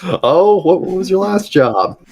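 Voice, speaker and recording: Merry spring elf for fantasy roleplay, raised lively pitch, easygoing and chatty played by a man. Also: unhurried, heavily acted. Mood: merry, eager. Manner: chatty, repeats words. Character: merry, easygoing green-skinned spring elf traveler, thirsty. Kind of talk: tabletop roleplay character acting.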